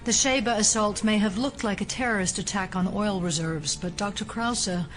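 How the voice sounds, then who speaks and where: A woman's voice: mid-range voice, normal speed, a bit bright, soft background music, close to an everyday voice. An actress in an audio drama playing an earnest, level-headed female federal agent who is also a doctor. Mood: composed, firm.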